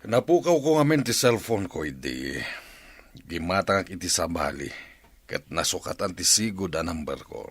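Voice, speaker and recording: A man's voice: low voice, expressive, normal speed, husky, no room sound. A man playing an authoritative ranch boss in a radio drama, with an Ilocano accent. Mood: subdued. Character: authoritative male ranch boss addressed as sir.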